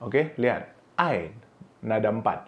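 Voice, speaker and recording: A man teaching Mandarin pronunciation in a casual, instructive way, often saying "oke" and "nah", and sounding out syllables in high, drawn-out bursts. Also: very animated, dull audio. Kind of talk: pronunciation lesson video.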